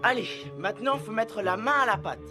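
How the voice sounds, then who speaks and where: A woman's voice: on the high side, expressive, a little fast, lightly acted. A woman voicing a bossy, wisecracking cartoon schoolboy who talks in a cocky, chatty way over music. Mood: bossy, upbeat. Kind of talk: cartoon voice acting, French dub. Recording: music under the voice.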